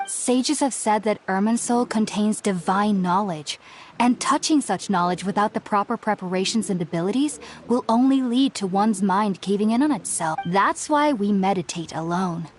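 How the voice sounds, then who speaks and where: A woman playing a studious, polite young researcher in a video game, speaking formally, earnestly and with precise, measured phrasing. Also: medium pitch, slight background noise, natural voice. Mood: solemn, candid. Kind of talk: scripted game character dialogue. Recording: music behind the voice.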